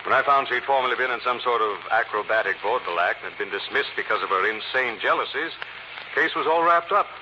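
A suave, assured gentleman sleuth of an old radio mystery, played by a man, explaining articulately at a medium pitch. The recording is dull and noisy. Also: expressive, fast, natural voice. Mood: assured, wry. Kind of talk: 1940s radio acting.

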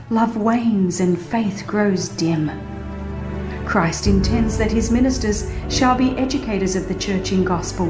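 A woman reading a religious book as a dramatized audiobook, with an earnest, measured and formal delivery. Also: medium pitch. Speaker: audiobook narrator. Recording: roomy reverb, faint background music. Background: faint music.

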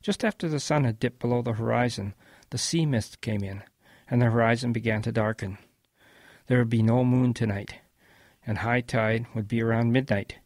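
A man's voice: medium pitch, steady tone, moderate pace, darkish tone, no echo, natural voice. Man playing a patient old grandfather storyteller. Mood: wistful storytelling. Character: patient old English grandfather recalling his wartime boyhood. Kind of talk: radio drama storytelling.

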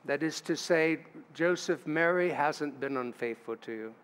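A man's voice: fairly high pitch, unhurried, fairly bright tone, smooth. An older man, a pastor, delivering a sermon at a lectern in a church sanctuary, reading scripture aloud and adding storytelling asides. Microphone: lectern mic. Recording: church livestream with long reverb.

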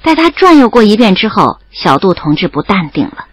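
An actress playing a young woman student who narrates her love story in an audio drama, expressive and playfully wry. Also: medium pitch, a little fast, slightly rough, dry recording. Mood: amused exasperation.

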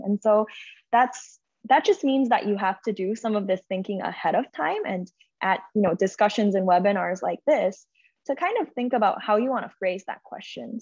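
A young woman talks as a panelist in an online webinar. She explains her points earnestly and reflectively at a medium pitch. The video-call sound is dull, with very little room echo. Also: slightly rough.

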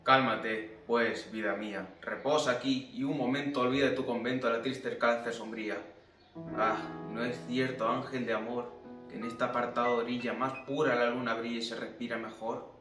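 A man's voice: mid-range voice, fairly even intonation, moderate pace, fairly bright tone. A man reciting romantic verse drama as a theatrical reading. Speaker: poetry reciter. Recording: echoey room, distant mic, guitar backing.

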